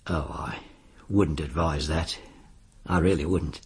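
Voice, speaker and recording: An actor playing a suave, sly disbarred lawyer in a radio drama, with a British accent and an insinuating, politely formal way of talking. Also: male voice, very deep voice, fairly even intonation, takes it slowly, slightly rough, heavily acted. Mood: veiled menace. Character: suave, sly disbarred lawyer arranging sinister bets on deaths.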